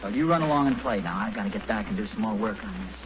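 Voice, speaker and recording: A man's voice: lowish voice, expressive, racing, natural voice. An American actor playing an amiable, flustered, hesitant father in a 1940s radio sitcom. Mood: relieved, busy. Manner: hesitant, stammering. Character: amiable, flustered sitcom dad with a conspiratorial streak. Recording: muffled, noisy 1940s radio broadcast.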